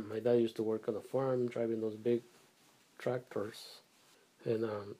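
A middle-aged man from Guatemala, answering questions in a casual family interview, halting and soft-spoken with pauses and restarts. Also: mid-range voice, little pitch movement, breathy edge, rough recording. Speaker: interviewee, father.

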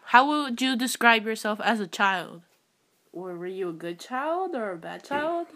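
Higher-voiced woman asking plain questions. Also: expressive, measured pace, bright, forceful tone. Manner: asks short, plain questions one after another. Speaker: interviewer, the man's daughter. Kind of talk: casual family interview.

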